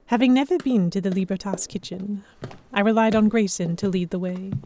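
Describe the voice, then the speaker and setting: A young, observant heroine played by a woman tells a pirate tale in the first person. Her voice is mellow and medium in pitch. The delivery is measured, literary scripted narration for an audio drama. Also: slight background noise, close to an everyday voice. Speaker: narrator and lead actor.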